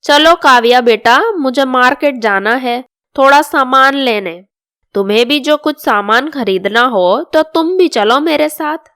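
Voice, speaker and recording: A woman's voice: high voice, measured pace, a bit bright, smooth, no room sound, clean studio sound. A woman voicing an older, bossy and easily offended mother-in-law in a storybook drama. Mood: coaxing. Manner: scolding, complaining.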